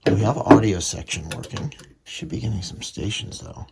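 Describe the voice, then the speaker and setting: Low, gravelly man thinking aloud. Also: normal speed. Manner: matter-of-fact, thinking aloud in short phrases. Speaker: hobbyist radio restorer presenting his own repair. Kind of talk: casual workbench demonstration with running commentary. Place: small home workbench. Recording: clearly noisy workshop recording with clicks.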